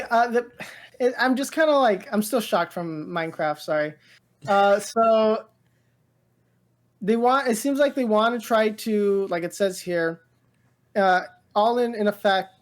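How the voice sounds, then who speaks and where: A woman co-hosting a casual pop culture podcast, rambling and halting as she thinks aloud. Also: mid-range voice. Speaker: podcast co-host.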